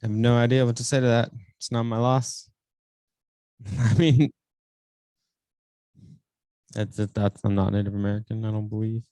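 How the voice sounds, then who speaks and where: Chill california guy on a podcast, kinda low voice, relaxed chatty, dry studio sound. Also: moderate pace, pauses often, dry recording. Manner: laid-back, easygoing, chuckles. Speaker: guest comedian. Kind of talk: casual chat.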